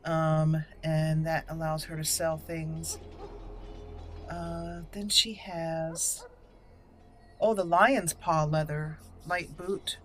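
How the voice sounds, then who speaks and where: A woman's voice: low voice, steady tone, drawn-out words. A woman giving casual gameplay commentary, thinking aloud and reading item names out as she goes. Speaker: gaming channel host. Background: video game music.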